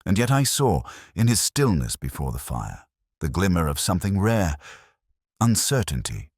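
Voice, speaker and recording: A man's voice: low voice, big pitch swings, normal speed, dry recording, clean studio sound. A man reading a scripted mystery story as a dramatic narrator.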